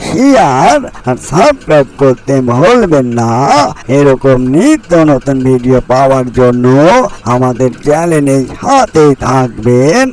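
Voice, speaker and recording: A man's voice: medium pitch, animated, measured pace, darkish tone, low-quality recording. A man reading a scripted outro as the narrator of a cartoon channel.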